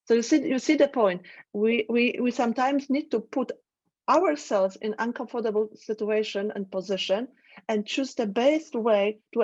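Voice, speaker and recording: A woman's voice: mid-range voice, a little mellow, highs cut off. Polish-accented woman lecturing on a video call. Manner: thoughtful, halting, restarts phrases. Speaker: trainer. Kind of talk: live online lecture.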